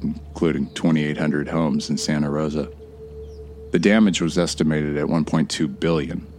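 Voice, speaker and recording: A man's voice: very deep voice, a little mellow. Haunted, wry man, bottom-of-the-range voice, reflective audio drama monologue with music underneath. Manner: reflective, sardonic, profane asides. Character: Jake Fisher, wry, haunted man recounting wildfires.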